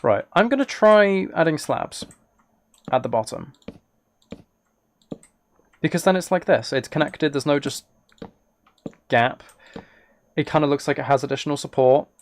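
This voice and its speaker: A man's voice: fairly high pitch, expressive, a little slow, long pauses, a little hiss or hum. Man musing aloud on a gaming livestream. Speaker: live streamer. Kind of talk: casual gaming livestream chat.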